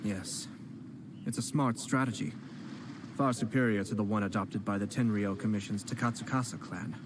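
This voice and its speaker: A man voicing a composed young male noble clan head who speaks in a measured, formal and polite way in a game. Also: on the low side, steady tone, background noise, natural voice. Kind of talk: video game voice acting. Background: game music.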